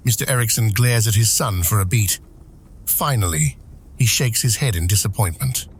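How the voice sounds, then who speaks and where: A man reading dramatic scripted narration for an audio drama, with measured, deliberate phrasing. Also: fairly low pitch, breathy edge. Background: music.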